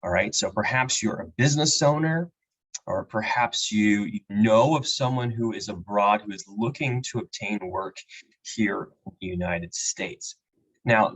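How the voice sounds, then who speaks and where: A man with a fairly low voice walks through a legal topic for a webinar audience. He is measured and explanatory and pauses often on fillers. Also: husky, low-quality recording. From Peru. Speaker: immigration attorney, guest speaker. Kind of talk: webinar presentation. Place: home or office with a map on the wall.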